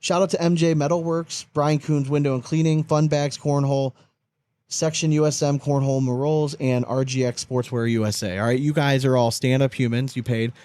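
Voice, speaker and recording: A man's voice: medium pitch, little pitch movement, fairly quick, mellow, dry recording. A man co-hosting a podcast in casual, opinionated chat, emphatic, often repeating himself and swearing freely. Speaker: podcast co-host.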